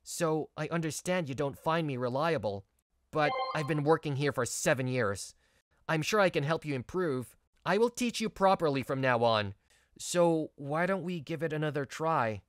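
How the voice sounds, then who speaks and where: An actor playing a mild, earnest young male office worker in a manga story dub, speaking in a sincere, measured way. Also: on the high side, expressive, no echo, natural voice. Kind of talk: scripted manga story dub, narration and dialogue.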